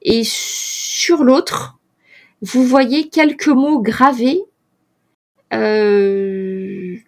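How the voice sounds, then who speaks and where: A woman running a tabletop role-playing game as game master, often hesitating with fillers and restarts. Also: on the high side.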